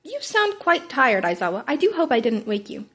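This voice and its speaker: A woman's voice: high-pitched, big pitch swings, normal speed. A woman voicing a gleefully teasing male talking-animal principal in a polite, airy, coy manner for a story reading.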